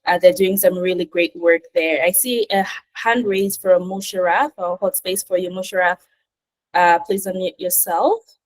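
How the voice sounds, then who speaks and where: A woman hosting an online webinar interview over a video call, enthusiastic and warm in her questions, with frequent "uh" fillers. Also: fairly low pitch, fairly even intonation, normal speed. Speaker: webinar host.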